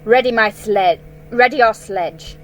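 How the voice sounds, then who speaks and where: Imperious witch queen, booming threats, drawn-out delivery , voiced by a woman. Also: animated, lo-fi sound, faint music behind.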